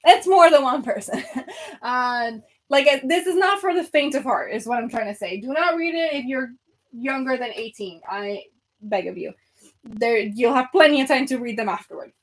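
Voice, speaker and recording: A young woman with a Venezuelan accent talks to her viewers in a loose, rambling way, full of fillers and restarts. Her voice sits a bit high for a woman. She is at home, sorting books on her shelves as she talks. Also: animated, moderate pace, low-quality recording. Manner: chatty, rambling, lots of fillers. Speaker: book vlogger. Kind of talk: casual chat with live viewers.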